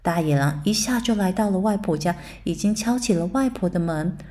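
A woman narrating a bedtime story for children in a soft, soothing way. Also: medium pitch, animated, brisk, heavy background noise. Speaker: storyteller mother.

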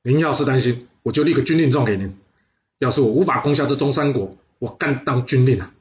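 A man's voice: mid-range voice, fairly quick, highs cut off, lightly acted. A man voicing a resolute male general who swears his loyalty.

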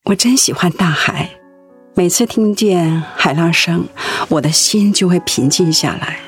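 An actress playing a gentle, anxious wife who cares for her sick husband in a Mandarin radio drama. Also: lowish voice, unhurried, slightly rough, heavily acted. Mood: gentle, caring. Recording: music under the voice.

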